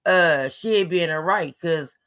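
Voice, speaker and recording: Raspy female caller, blunt and opinionated, casual slang. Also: on the low side, measured pace, fairly bright tone. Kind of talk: casual phone-in chat.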